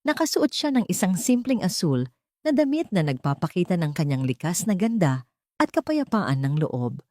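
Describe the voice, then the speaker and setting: A woman's voice: on the low side, sing-song, moderate pace, dark tone, no room sound, clean studio sound. A woman storyteller narrating a scripted drama in a dramatic style.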